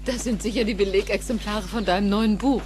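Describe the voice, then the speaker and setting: A woman's voice: medium pitch, fairly quick, noisy background, natural voice. An actress playing a cheerful, affectionate suburban mother in a film audio drama. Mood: cheerful, fond.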